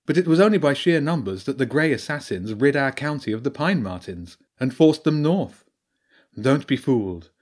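A man voicing a wise female badger priestess in an audiobook, speaking in a measured, knowing way with solemn warnings. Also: medium pitch, pitched above the performer's own voice, natural voice. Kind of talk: audiobook character voice.

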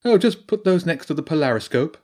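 A man voicing a wise female badger priestess in an audiobook, speaking in a measured, knowing way with solemn warnings. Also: a little high, very animated, a little mellow, pitched above the performer's own voice. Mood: dry, wry. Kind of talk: audiobook character voice.

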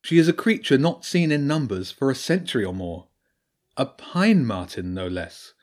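A man voicing a wise female badger priestess in an audiobook, speaking in a measured, knowing way with solemn warnings. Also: mid-range voice, very animated, a little mellow, voice pushed up, natural voice. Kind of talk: audiobook character voice.

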